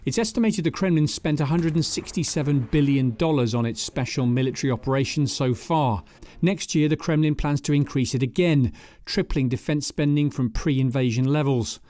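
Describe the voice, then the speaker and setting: A man reading a scripted news voice-over in a measured, formal way. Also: medium pitch, dark tone. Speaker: news reporter.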